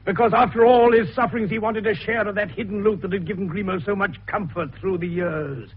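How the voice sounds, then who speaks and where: An actor playing an older, eccentric male doctor sleuth in a radio detective drama, with a gravelly voice and an avuncular, theatrical way of explaining. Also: high voice, steady tone, a little fast. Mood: emphatic, revelatory. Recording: dull, muffled radio recording.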